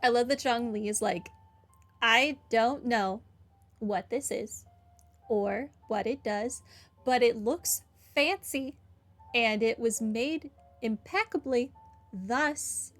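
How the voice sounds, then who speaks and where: A woman's voice: fairly high pitch, slow, fairly bright tone, faint music behind. A woman giving casual playthrough commentary as a gaming streamer, with amused, wry asides.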